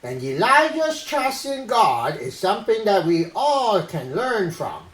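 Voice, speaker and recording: A performer voicing Uncle Ed, a silly, earnest orangutan puppet who teaches Bible stories to children in a deliberate way. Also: medium pitch, sing-song, fairly bright tone, reverberant, rough recording. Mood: earnest, teaching. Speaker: host of children's Bible story show. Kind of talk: children's puppet show presenting.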